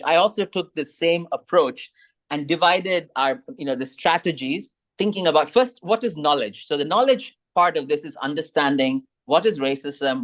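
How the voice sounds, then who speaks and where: Thoughtful man presenting slides. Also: a little high. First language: Tamil. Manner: thoughtful, rising emphasis on key points.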